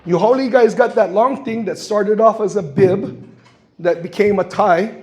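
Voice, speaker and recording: A man in his sixties from Guam, with a noticeably high voice, addresses a public forum. He tells stories in a plain, earnest way and acts out the voices of the people he quotes. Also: measured pace, darkish tone. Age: 60s. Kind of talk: impassioned public comment. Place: public meeting hall.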